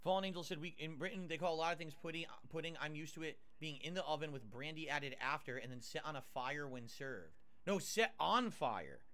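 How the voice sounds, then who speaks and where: Bright, chatty man on a livestream. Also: a little high, animated, brisk, hardly any pauses. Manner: chatty, reads out tips and thanks viewers. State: mouth burned, nasal drip. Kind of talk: casual stream chat.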